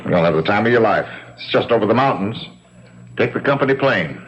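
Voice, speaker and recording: A company boss in a 1970s radio play, deep and very animated. He is dry and brisk, teasing with a bland, breezy air. The broadcast sound is dull. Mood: breezy, needling. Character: needling, breezy male boss of resort sales company. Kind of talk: 1970s radio drama acting.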